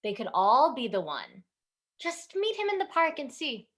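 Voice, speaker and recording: Woman acting a high, animated, pushy mother. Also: normal speed, exaggerated voice. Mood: stern, insistent. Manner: insistent, dismissive. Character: traditional, pushy matchmaking Indian mother, stubborn. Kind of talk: staged reading over video call.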